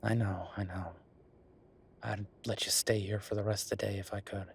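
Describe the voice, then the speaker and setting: A man playing an easygoing, affectionate werewolf bureaucrat in an intimate boyfriend roleplay audio, talking casually and haltingly with a husky voice. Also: lowish voice, flat delivery, a little hiss or hum. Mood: fond, teasing.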